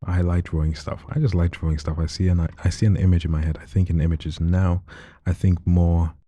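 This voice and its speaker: A man speaking as a podcast guest in a quiet, calm, soft-spoken way while telling his story. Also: deep voice, fairly quick, slightly rough, no room sound. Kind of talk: relaxed conversational storytelling.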